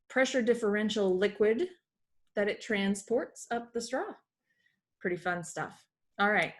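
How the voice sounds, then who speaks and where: A woman teacher giving an explanatory read-aloud lesson for children, recorded at a computer in a room at home. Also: medium pitch.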